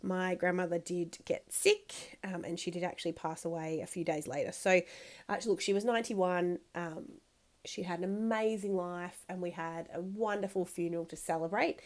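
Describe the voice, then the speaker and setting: A woman in her thirties with a croaky, congested voice, chatting casually in a voice-over while she scrapbooks, rambling with lots of ums. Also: on the low side, fairly even intonation, moderate pace. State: croaky from a cold. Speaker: scrapbooking video host.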